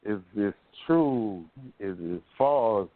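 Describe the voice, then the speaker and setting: A man's voice: lowish voice, expressive, takes it slowly, no room sound, narrow, tinny audio. A man with an American accent hosting a talk show, chatting casually in informal slang. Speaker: radio show host. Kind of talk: casual talk radio chat.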